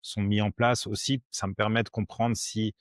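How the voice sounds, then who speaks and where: A man in his forties from Switzerland, a podcast guest explaining things simply in conversation, haltingly and with repeated words. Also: lowish voice, flat delivery, dry recording, clean studio sound. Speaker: podcast guest, crypto educator.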